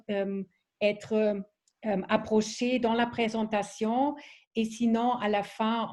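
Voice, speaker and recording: German-accented woman, slow and hesitant. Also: on the high side, mellow. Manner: hesitant, many fillers and restarts. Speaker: presenter of a training webinar. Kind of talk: online training presentation.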